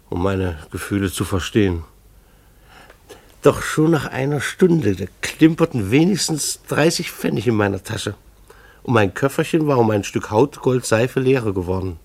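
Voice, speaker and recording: Radio drama role: timid hard-up husband and peddler, creaky mid-range voice, hesitant. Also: male voice, mid-range voice. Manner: hesitant stammering when speaking to others. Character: timid, needy husband turned door-to-door soap peddler, narrating. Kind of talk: radio drama narration and dialogue.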